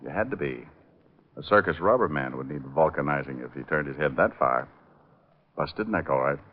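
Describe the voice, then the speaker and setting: An actor playing a glib, flirtatious private detective who narrates his case with deadpan quips in vintage radio style. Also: very deep voice, moderate pace, a little hiss or hum. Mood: brisk, calm. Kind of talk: 1940s-50s radio detective acting, hardboiled narration. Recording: muffled old-time radio recording with music.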